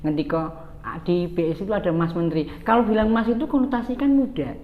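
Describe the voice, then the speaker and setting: A woman's voice: medium pitch, quick pace, echoey room, dull audio. A woman hosting a casual interview podcast in a playful manner, often laughing. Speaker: podcast host.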